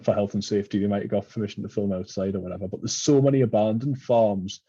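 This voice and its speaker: A man's voice: fairly low pitch, steady tone, fast talker, warm tone, slightly rough, no room sound, lo-fi sound. A man with a Geordie accent, hosting a podcast in casual chat and telling long, winding stories full of fillers. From Newcastle, England. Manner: rambling storyteller with many fillers. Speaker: podcast host.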